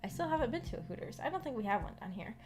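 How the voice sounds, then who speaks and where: An American woman streamer chatting casually in a rambling, halting, giggly way. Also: a little high, very animated. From United States. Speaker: streamer, visual novel book club host. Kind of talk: casual livestream chat. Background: background music.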